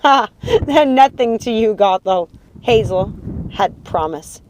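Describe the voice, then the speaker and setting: A woman's voice: mid-range voice, animated, slow, a little mellow, a little hiss or hum, rough recording. Woman acting a cruel, imperious witch mother. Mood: cold, possessive. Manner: scornful, commanding, theatrical delivery. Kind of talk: audiobook character acting.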